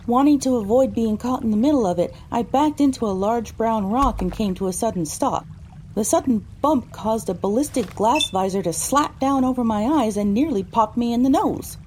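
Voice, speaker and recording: A woman playing a pony who narrates her own adventure in a measured, descriptive storytelling style. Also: on the high side, mellow, a little hiss or hum. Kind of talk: scripted first-person story narration.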